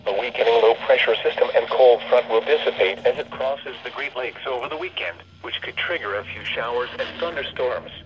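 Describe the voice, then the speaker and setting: A man's voice: mid-range voice, brisk, hardly any pauses, muffled recording. A synthetic, stilted man's voice reading a formal scripted weather forecast through a radio speaker. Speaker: automated weather radio announcer. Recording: noisy weather radio reception, re-recorded.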